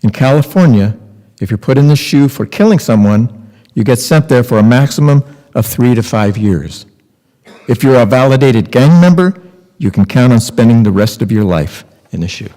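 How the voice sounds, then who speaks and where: A man in his sixties reading scripted testimony aloud with deliberate, emphatic phrasing. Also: medium pitch, lively intonation, warm tone. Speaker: reader in a readers theater. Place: large temple sanctuary, at a lectern. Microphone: podium microphone on a boom stand. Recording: long hall reverb.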